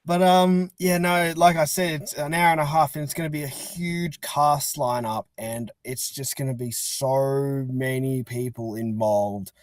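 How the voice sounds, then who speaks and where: A man's voice: medium pitch, steady tone, a little slow, few pauses, dry recording. A man chatting casually as an interview guest, talking in a rambling, chatty way. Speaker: interview guest, fan-dub creator. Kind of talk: casual chat.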